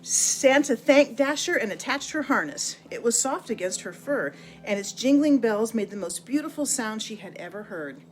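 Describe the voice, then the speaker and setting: Expressive woman reading a children's story. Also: fairly high pitch, moderate pace, few pauses. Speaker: storybook reader. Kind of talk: scripted children's story narration.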